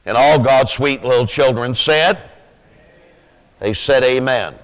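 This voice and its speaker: A man in his sixties with a rough, sleepy-sounding voice, preaching a sermon slowly, with many pauses, then rising with fervent emphasis. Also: mid-range voice. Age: 60s. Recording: reverberant hall.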